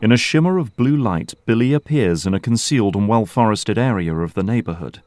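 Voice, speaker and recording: A man's voice: fairly low pitch, expressive, fairly quick, darkish tone, slight background noise. A man reading scripted narration as the narrator of an audio drama.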